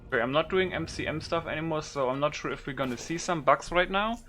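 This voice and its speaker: A man's voice: on the high side, fast talker, bright, forceful tone, soft background music. A man doing loose, informal game commentary as a YouTuber, thinking aloud without a script. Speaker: gaming YouTuber showing a modded game. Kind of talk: casual unscripted game commentary.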